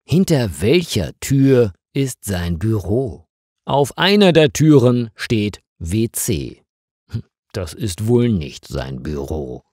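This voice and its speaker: A man narrating a scripted story for language learners in a deliberate, expressive and carefully phrased way. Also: on the low side, dry recording, studio quality.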